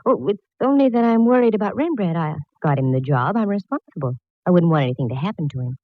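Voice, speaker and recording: An actress playing a wry, quick-witted female private eye in a 1940s radio detective drama, breezy and wisecracking. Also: on the low side, animated, no room sound, lightly acted. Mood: worried. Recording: noisy, dull vintage radio recording.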